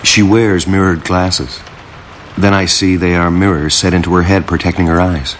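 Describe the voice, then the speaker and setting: A man's voice: sits low, fairly even intonation, moderate pace, a little hiss or hum, lightly acted, low-quality recording. An actor playing a bleak, down-and-out man narrating his inner thoughts in terse fragments for a radio drama. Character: bleak, brooding male down-and-out narrator, inner monologue. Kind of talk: scripted first-person drama narration.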